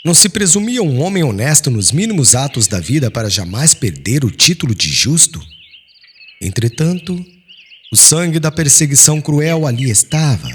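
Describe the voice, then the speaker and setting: A man's voice: mid-range voice, a little hiss or hum. A man reading an audiobook as a solemn, formal and dramatic literary narration.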